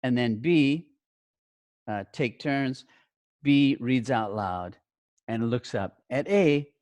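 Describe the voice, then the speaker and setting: A teacher leads a lesson with simple, step-by-step directions. The pitch moves expressively up and down while the delivery stays slow and deliberate. The sound is dry, with little room in it. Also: lively intonation, a little mellow, dry recording. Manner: clear simple explanations with short instructions and check questions. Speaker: teacher training English language teachers.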